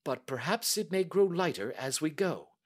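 A man reading aloud and voicing a distraught middle-aged male banker who speaks slowly, pleading in anguish. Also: fairly high pitch, lively intonation, breathy edge, voice pushed up.

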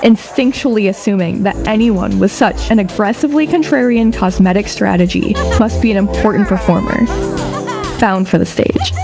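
A woman narrating a scripted audio drama in a knowing, playful storyteller's manner. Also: medium pitch. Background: music under the voice.

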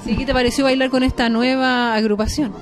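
Chilean female reporter, 30s, high voice, handheld mic interviews, music in background. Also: steady tone, brisk. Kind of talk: on-location interview. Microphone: handheld microphone.

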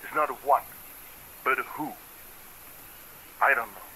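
An actor playing a haunted, determined island fisherman in a video game, giving grave, measured testimony through an old tape filter. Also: medium pitch, pushed and bright. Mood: haunted, resolute. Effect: old tape recording filter. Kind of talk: scripted recorded testimony. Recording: noisy, thin reel-to-reel tape playback.

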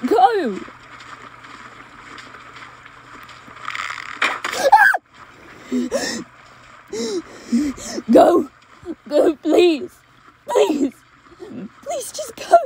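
A woman performs a desperate but tender young heroine in an old-fashioned romantic audio drama. Her voice is fairly high and soft-edged, very mellow, and she speaks haltingly, repeating herself. Ambient sound effects add some noise behind her. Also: full character voice, low-quality recording. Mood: desperate pleading. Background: forest, stream and dungeon ambience effects.

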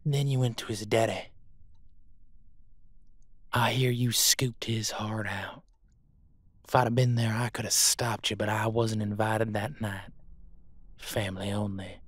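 A vengeful hunter played by a man taunts his prey in a slow drawl. The voice is husky and menacing, dry and close, in the manner of an audio drama. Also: fairly low pitch, steady tone, no echo. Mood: bitter. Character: vengeful male monster hunter, taunting, grief-driven drawler. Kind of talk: audio roleplay acting.

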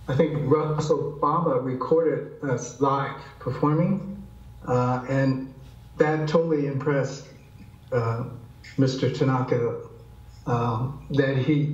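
A man recalling events in a group interview with a measured, halting delivery. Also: medium pitch, darkish tone. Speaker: interviewee, taiko member. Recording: roomy, distant, dull sound.

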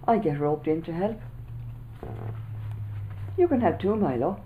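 A woman's voice: fairly low pitch, animated, a little slow, phone-quality sound, a little hiss or hum. A woman voicing an easygoing, grinning roly-poly boy in a storybook reading, speaking with confident, knowing ease.

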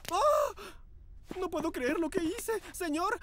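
An actor playing a flamboyant, high-strung young male model agent in a cartoon dub, exclaiming melodramatically. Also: lively intonation, slow pace, noisy background, exaggerated voice. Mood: panicked. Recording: loud music under the voice.

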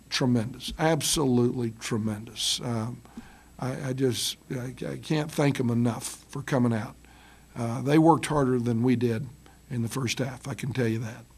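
A middle-aged man, a basketball head coach, answering reporters after a loss, halting with many ums and restarts, speaking into a table microphone. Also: mid-range voice, darkish tone. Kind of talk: post-game press conference. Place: press room with curtain backdrop. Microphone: table mic on stand.

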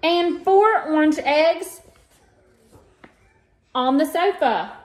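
A woman's voice: high voice, expressive, very slow. An American woman in her thirties reading a picture book aloud and chatting casually to children, with sing-song emphasis. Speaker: read-aloud host. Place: small room at home. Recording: roomy echo, faint background noise.

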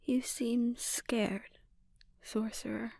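A woman playing a menacing, seductive sorceress and obsessive mother in a breathy, often whispered ASMR roleplay, speaking deliberately and with quiet threat. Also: fairly high pitch, flat delivery, no echo, full character voice. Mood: coolly threatening.

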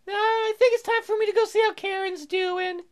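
A nervous young woman from a dating game, acted out by a man, pitched very high. She is awkward and hesitant, stumbling softly into what she means to say. Music plays behind her. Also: fairly even intonation. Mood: uneasy. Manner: hesitant, halting. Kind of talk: visual novel character read-aloud.

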